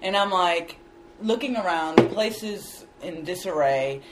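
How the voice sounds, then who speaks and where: A man's voice: high-pitched, unhurried, very noisy. A man whose first language is not English, a guest video editor telling a story casually and acting out what people said. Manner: animated, laughing, acts out quotes.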